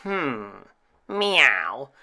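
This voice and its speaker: A man's voice: medium pitch, sing-song, extremely slow, creaky, bright, forceful tone, heavily acted. A man voicing an old female cat in a storybook, curious and aloof, musing between meows. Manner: meowing, musing.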